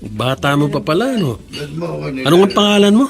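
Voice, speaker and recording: An actor playing a bossy construction engineer with a Filipino accent in a radio drama. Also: male voice, a little high, sing-song, normal speed. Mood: smooth, flirtatious. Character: bossy, short-tempered male construction engineer, smooth with women. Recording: music behind the voice.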